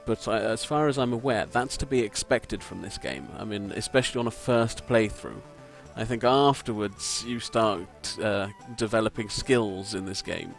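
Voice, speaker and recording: A man with a croaky voice, giving rambling, self-deprecating gameplay commentary from a home mic and swearing casually. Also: fairly low pitch, moderate pace, few pauses, faint music behind. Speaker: let's play commentator. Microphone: home desk microphone.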